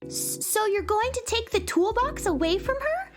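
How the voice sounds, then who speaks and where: A woman's voice: very high voice, lively intonation, normal speed, over music. A woman voicing a cheerful, sly little girl who hosts a radio show in a children's radio drama, sweet and coaxing. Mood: curious.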